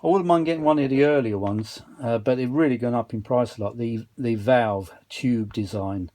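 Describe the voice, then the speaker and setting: A man's voice: mid-range voice, normal speed, dark tone. A man talking casually and off the cuff as an enthusiastic hobbyist presenter, rambling informally while demonstrating a machine. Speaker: vintage audio hobbyist presenter. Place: indoors at a cluttered workbench.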